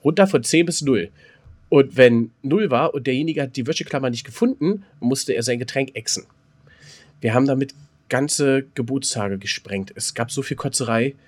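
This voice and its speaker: A man's voice: medium pitch, moderate pace. A man co-hosting a podcast, telling anecdotes casually in a rambling, colloquial way. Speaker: podcast co-host.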